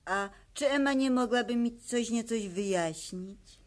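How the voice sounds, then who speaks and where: A woman's voice: a little high, a little slow, natural voice. An actress playing a kindly, naive troll mother in a radio play, polite and curious. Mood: politely curious. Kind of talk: Polish radio play acting.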